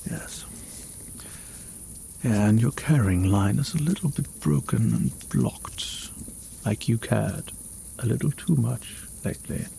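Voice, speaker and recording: Guy doing a caring mage asmr roleplay, real slow n soft, bit of fry in the voice, crickets faintly behind. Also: medium pitch, a little hiss or hum, exaggerated voice. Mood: soothing, concerned. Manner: soft-spoken, soothing, unhurried, often dropping toward a whisper. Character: gentle, caring male mage healing a weary traveler. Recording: faint nighttime cricket ambience.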